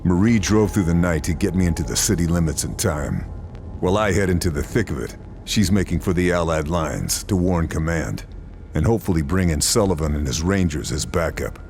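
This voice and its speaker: An actor playing a determined sniper hero, narrating a mission with dry, clipped lines over cutscene music. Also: male voice, deep voice, lively intonation, a little fast, darkish tone, a little hiss or hum. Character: hardened sniper hero, middle-aged man. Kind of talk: game narration.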